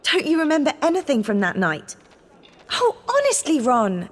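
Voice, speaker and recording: Bossy, animated teenage witch. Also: high-pitched, normal speed. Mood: exasperated. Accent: English. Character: exasperated, sensible, bossy teenage girl witch.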